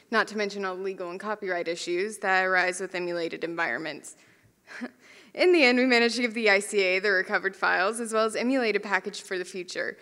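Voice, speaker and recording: A woman's voice: mid-range voice, a bit bright. Young woman grad student reading her part, hall echo. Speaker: graduate student presenter. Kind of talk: short conference presentation. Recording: hall reverb.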